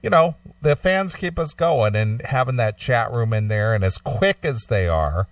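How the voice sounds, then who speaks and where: Guy talk show host, kinda distant from the mic but dry sound, chatting casually with measured pauses. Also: mid-range voice, expressive, no echo. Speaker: host of a long-running radio show.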